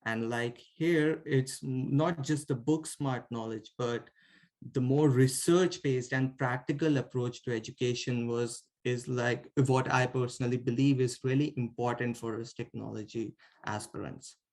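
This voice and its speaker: A man's voice: medium pitch, steady tone, unhurried, a little mellow. Young Indian man presenting with many fillers. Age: young adult. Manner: filler-heavy, frequent "like" and restarts. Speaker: student speaker. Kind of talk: informal presentation.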